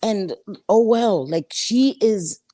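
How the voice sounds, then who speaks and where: A woman in her fifties with a husky voice, gossiping bluntly and profanely as a livestream co-host. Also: mid-range voice, animated, takes it slowly, dark tone. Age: 50s. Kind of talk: casual gossip livestream chat.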